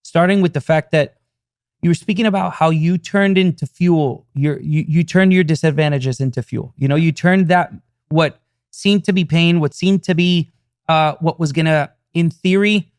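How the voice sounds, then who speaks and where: Affirming podcast host, man, slightly higher voice. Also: moderate pace, smooth, dry recording, clean studio sound. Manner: warm, affirming, frequent short agreements. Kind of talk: casual interview.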